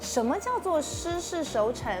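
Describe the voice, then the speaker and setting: A woman host, lively and laughing, interviewing a chef. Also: high-pitched, moderate pace, poor audio. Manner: lively, laughs often, mixes Mandarin and English. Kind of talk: casual interview. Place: commercial kitchen butchery room, indoors. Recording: music bed under the voice. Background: background music.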